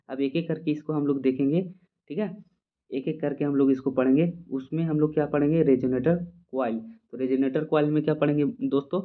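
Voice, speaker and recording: Indian-accented male lecturer, mid-pitched, repetitive and explanatory, checks in often; narrow telephone-grade sound. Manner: repetitive, checks understanding often, reads English definitions slowly. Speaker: physiotherapy lecturer. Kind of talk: informal explanatory lecture, point by point. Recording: muffled phone-like audio.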